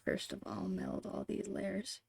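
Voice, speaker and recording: A woman softly narrating her digital art in an ASMR style, murmuring in short, breathy phrases close to the microphone. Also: medium pitch, big pitch swings, measured pace, dry recording. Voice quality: breathy, whispery. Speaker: digital artist hosting an art channel.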